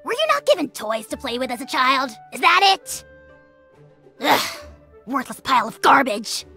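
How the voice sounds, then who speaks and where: A woman's voice: high voice, big pitch swings, moderate pace, sharp tone, breathy edge, soft background music, full character voice. A woman voicing a small, self-important dragon lord in a video game, pompous, petulant and theatrically taunting. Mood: scornful. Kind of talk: video game character voice acting.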